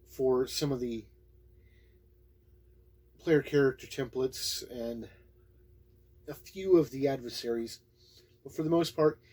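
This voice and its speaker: A man giving a casual, unscripted game review in a halting, plain-spoken way, as a reviewer talking to viewers. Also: medium pitch, darkish tone, breathy edge. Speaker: YouTube tabletop game reviewer.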